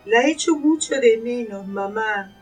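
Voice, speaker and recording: A wistful little granddaughter, played by a woman in an amateur radio play. The voice is fairly high, with faint music behind it. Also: unhurried, darkish tone, slightly rough, rough recording. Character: sad little granddaughter missing her grandmother.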